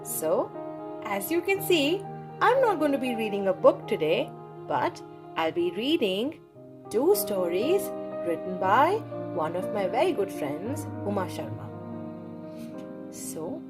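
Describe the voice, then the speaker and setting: A woman reading a children's story aloud for her channel, in a cheerful, expressive way. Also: a little high. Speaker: storybook reader, channel host.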